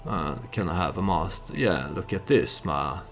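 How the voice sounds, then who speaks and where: A man giving unscripted, storytelling commentary on a game he plays, halting as he thinks aloud and speaks for his character. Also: sits low, expressive, faint music behind. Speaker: let's play host.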